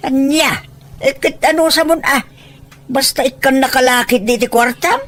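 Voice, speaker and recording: A high-pitched Filipino-accented older parent acted by a woman in an audio drama. The figure is boastful and money-minded, pressing others with exclamations and pointed questions. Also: moderate pace, a bit bright. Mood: indignant, scolding. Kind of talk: Ilocano audio drama acting.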